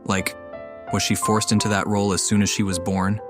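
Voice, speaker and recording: Guy voicing calm game traveler, low voice, reassuring n a bit dry, music behind. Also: fairly quick, natural voice. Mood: thoughtful. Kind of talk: video game dialogue.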